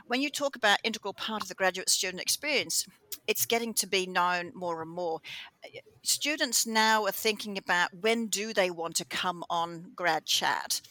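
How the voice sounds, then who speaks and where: Woman, mixed English-Australian accent, bright chatty radio host, dry close sound. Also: mid-range voice, no echo, poor audio. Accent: mix of English and Australian. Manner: chatty, animated storytelling with quick restarts. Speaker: campus radio show host, interviewed as guest. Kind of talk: conversational interview answer.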